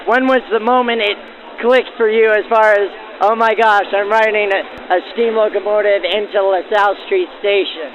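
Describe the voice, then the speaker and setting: A man's voice: high voice, fairly even intonation, normal speed, fairly bright tone, highs cut off. A man working as host and interviewer, presenting in the manner of a 1940s radio reporter. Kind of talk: scripted narration and field interviews backdated to 1940s radio. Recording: band-limited 1940s radio-style sound.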